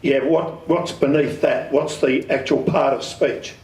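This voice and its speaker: A man's voice: mid-range voice, fairly even intonation, measured pace, darkish tone. Echoey, distant older man lecturing. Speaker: speaker giving an amateur radio talk. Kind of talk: instructional lecture with questions to the audience. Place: community centre meeting room. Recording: echoey hall, distant microphone.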